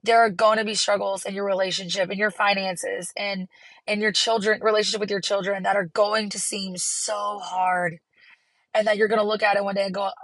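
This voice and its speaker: A hoarse woman, hosting her podcast from a parked car in an earnest, encouraging way. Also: medium pitch, fairly bright tone, no room sound. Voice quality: raspy. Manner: earnest, encouraging, rambling with restarts. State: hoarse from laryngitis. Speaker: podcast host. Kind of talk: casual solo podcast talk.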